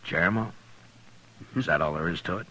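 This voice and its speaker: An actor playing a steady, dry-spoken frontier marshal in a 1950s radio western. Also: male voice, low-pitched, a little fast, poor audio. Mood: dry, probing. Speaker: lead actor and narrator.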